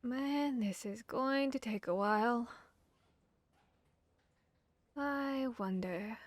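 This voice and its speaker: A woman's voice: a little high, extremely slow, dry recording. A woman playing an earnest, bookish vampire hunter who thinks aloud and gets slightly flustered, in a conversational ASMR audio roleplay. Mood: musing.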